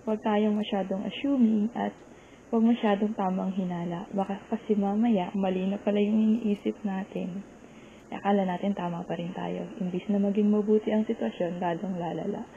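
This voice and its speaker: A woman's voice: mid-range voice, fairly even intonation, normal speed, vocal fry, dark tone. A woman listener giving her opinion informally in a dull, noisy recorded voice message.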